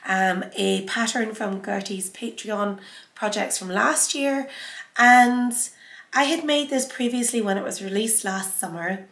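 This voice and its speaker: A woman with a cold and a scratchy sore throat hosts her sewing vlog in a chatty, conversational way. Her voice sits at an ordinary middle pitch. The sound is noticeably roomy and echoey. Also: a bit bright. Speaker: sewing channel host. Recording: echoey room.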